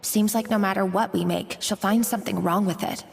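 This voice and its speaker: A woman's voice: mid-range voice, fairly even intonation, brisk, gravelly, lightly acted. A woman voicing an earnest, put-upon young chef in an anime game, a little tentative. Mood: weary, complaining.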